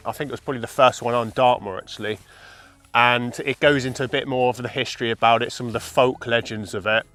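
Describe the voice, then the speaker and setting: A man in his thirties with a croaky voice from the flu, chatting casually to a handheld camera outdoors on open moorland, rambling with lots of ums. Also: mid-range voice. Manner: rambling, relaxed, many ums and kind ofs. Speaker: landscape photography vlogger. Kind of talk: casual vlog talk to camera. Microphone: camera held at arm's length.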